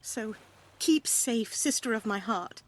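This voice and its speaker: A woman's voice: on the high side, animated, takes it slowly. A woman voicing a resolute, passionate young female Tudor musician in an audio play, with urgent, old-fashioned speech. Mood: earnest, tender.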